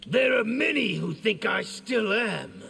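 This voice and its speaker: A defiant rebel warrior from a fantasy game. The voice is high and sharp, full of heavy grit. He taunts with contempt and big swings in pitch. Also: expressive, unhurried, gravelly, husky, heavily acted. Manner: forceful, taunting. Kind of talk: video game dramatic acting.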